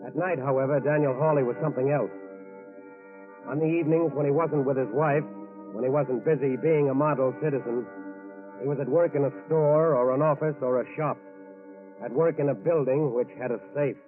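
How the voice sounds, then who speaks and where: A man's voice: medium pitch, brisk. A man narrating a crime drama in dramatic, scripted 1940s radio style, on an old tinny recording. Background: music.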